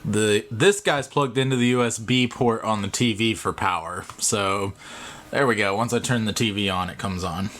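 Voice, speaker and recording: A man in his thirties giving a casual vlog demonstration, unhurried and offhand as he thinks aloud. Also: lowish voice, a bit bright. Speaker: vlogger. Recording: clear background noise, clicks.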